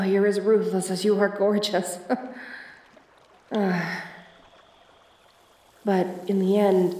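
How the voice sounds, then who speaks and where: A woman's voice: medium pitch, dark tone, close to an everyday voice. Woman acting a theatrical femme fatale, roomy echo. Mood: sultry, teasing. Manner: theatrical, pleading or teasing. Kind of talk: audio roleplay acting addressed to the listener. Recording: roomy echo, distant from mic.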